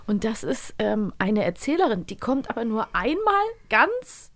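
A woman's voice: on the high side, sing-song, measured pace, fairly bright tone, no room sound. A woman co-hosting a podcast in casual, conversational chat, readily agreeing with her partner. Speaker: podcast co-host.

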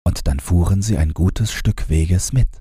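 A man narrating a bedtime fairy tale from a script in a calm, measured, gentle way. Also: low voice, husky, no echo, clean studio sound. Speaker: audiobook narrator.

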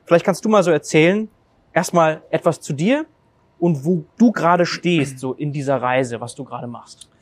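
A male podcast host asking upbeat, quick summarizing questions in an interview. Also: a little high, no echo.

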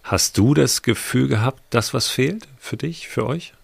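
A man interviewing a guest, asking gentle, probing questions in a relaxed conversational style. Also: medium pitch, a little slow, creaky, husky, dry recording.

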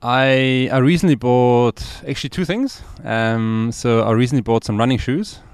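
A man from Austria in his forties, a podcast guest and entrepreneur, talking in a relaxed, hesitant way with many fillers. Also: mid-range voice, moderate pace, clear, resonant tone, no room sound, studio quality. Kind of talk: casual interview conversation.

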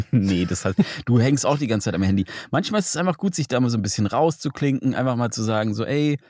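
Man, podcast co-host, chatty, quick to laugh, rapid talker, dry sound. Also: medium pitch, lively intonation, a little mellow, no room sound. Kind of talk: casual chat.

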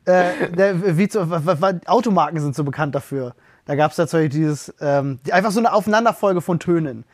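A man with a high voice chats loosely on a podcast and stumbles through restarts and fillers as he goes. His voice is recorded on a close studio mic in a dry room. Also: fairly quick, no room sound. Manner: talkative, hesitant with fillers and restarts. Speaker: podcast co-host. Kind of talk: casual chat.

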